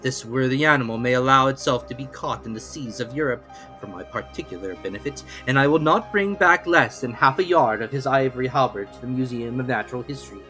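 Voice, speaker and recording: A man's voice: medium pitch, a little fast, hardly any pauses, pushed and bright, faint music behind. A man giving a theatrical, formal dramatic reading of an adventure novel as its narrator. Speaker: narrator, reader.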